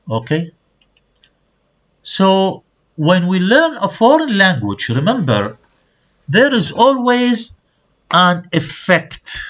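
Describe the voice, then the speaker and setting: Guy, lecturing in a college class, lots of pitch movement, muffled lo-fi sound. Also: fairly bright tone. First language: Arabic. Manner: explains terms and checks with students. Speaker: university lecturer. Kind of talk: lecture, switching between English and Arabic. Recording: dull lo-fi recording.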